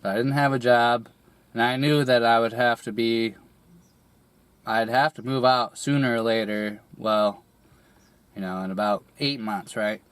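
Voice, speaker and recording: A young man getting over a cold, his voice a little raspy, telling a personal story slowly with many pauses, fillers and throat clearing. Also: mid-range voice, steady tone, a bit bright, no room sound. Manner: hesitant, rambling, many fillers and restarts, clears throat often. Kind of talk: candid personal monologue.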